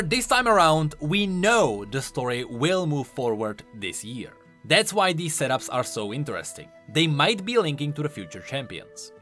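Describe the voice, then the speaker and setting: A man's voice: fairly high pitch, sharp tone. A man hosting a game lore video, giving emphatic, explanatory voice-over commentary. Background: faint music.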